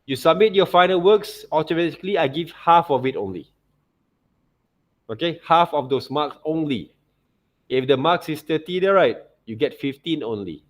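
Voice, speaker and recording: A man with a Malaysian accent teaching an informal software tutorial, often checking in with short tag words like okay and right. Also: on the high side, expressive, moderate pace. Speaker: art teacher.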